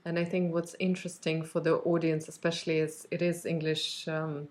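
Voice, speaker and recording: Woman podcast host with a lowish voice. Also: little pitch movement, normal speed. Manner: warm, enthusiastic introductions with hesitant fillers. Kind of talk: casual interview.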